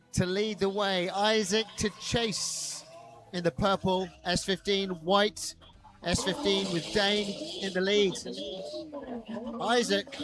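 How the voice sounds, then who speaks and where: A high-voiced man calls a live drift event with bursts of excitement and silly asides. Loud music plays behind him the whole time. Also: poor audio. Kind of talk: live motorsport commentary.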